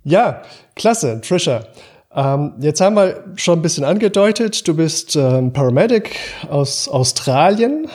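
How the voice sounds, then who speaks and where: A man's voice: a little high, big pitch swings, measured pace, a little mellow. A man hosting a podcast, chatting in a friendly way and asking his guest questions. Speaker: podcast host. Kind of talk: casual podcast interview.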